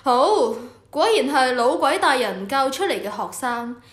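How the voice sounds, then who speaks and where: High-voiced, authoritative temple official played by a woman. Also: sing-song, a little slow, reverberant. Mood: approving. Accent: Hong Kong Cantonese. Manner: authoritative, instructive. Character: official in charge of the Temple of Heaven, instructive superior. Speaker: voice actor. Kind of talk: scripted educational radio drama.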